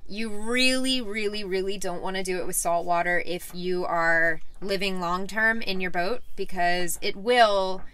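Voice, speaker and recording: Bright-voiced young woman chatting casually. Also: mid-range voice, fairly even intonation, measured pace, few pauses, clean tone. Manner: chatty, laughs often, many fillers. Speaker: co-host. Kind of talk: casual chat. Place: small boat cabin, indoors.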